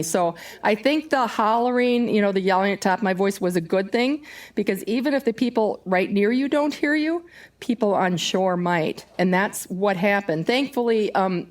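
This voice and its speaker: A woman guest in a casual interview, talking loudly and emphatically with a chatty manner. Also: fairly low pitch. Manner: loud, emphatic, chatty with laughs.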